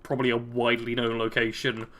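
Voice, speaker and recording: A chatty British man with a bright, forward voice, talking through a game stream. He keeps up a loose, friendly chatter as he answers viewers, chuckling often between comments. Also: mid-range voice, monotone, fast. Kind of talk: casual live-stream commentary, reading chat aloud.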